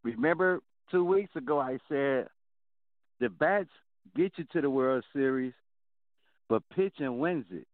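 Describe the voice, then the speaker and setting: A man's voice: medium pitch, expressive, measured pace, long pauses, no room sound. A man hosting a sports talk show, steering the talk with direct questions. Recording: dry phone-line audio.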